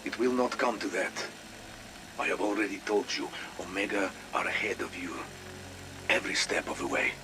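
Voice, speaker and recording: Male actor as shadowy contact, knowing and clipped, deep-voiced, game audio log. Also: background noise, lightly acted. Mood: cool warning. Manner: terse, deliberate. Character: mysterious, ominous Russian male informant, cool and knowing.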